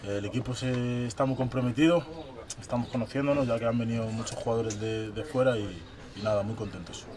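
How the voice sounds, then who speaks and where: A footballer, talking casually about the squad and joking with teammates. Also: male voice, mid-range voice, steady tone, a little fast, over a noisy crowd. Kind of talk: casual interview.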